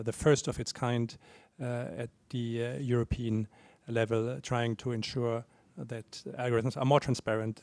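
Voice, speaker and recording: A man of middle pitch speaks formally as an official on a panel. He is measured and hesitant, with many pauses, and the sound is dry and close. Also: a little mellow, dry recording. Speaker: European Commission official. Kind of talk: panel talk.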